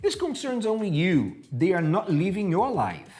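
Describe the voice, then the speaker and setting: A man in his forties presenting a TV talk show in a studio, upbeat and lively. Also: sits high, normal speed. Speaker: host. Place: TV studio, indoors.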